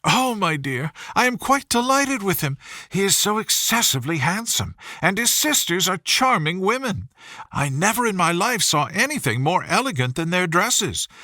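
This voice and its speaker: Man voicing a high, gushing middle-aged mother. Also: very animated, moderate pace, bright, forceful tone, no echo, clean studio sound, heavily acted. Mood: delighted. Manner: effusive, exclamatory, rattling on.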